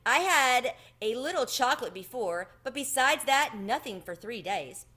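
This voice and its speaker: A polite country cricket from a storybook, read by a woman. He speaks in a fairly high, lively voice and tells his tale in an earnest, modest way. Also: normal speed. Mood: matter-of-fact. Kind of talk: children's storybook read-aloud.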